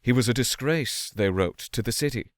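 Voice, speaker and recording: A man giving a measured, expressive reading of a classic ghost story as a scripted narration. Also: medium pitch, no room sound, clean studio sound. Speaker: audiobook-style story reader.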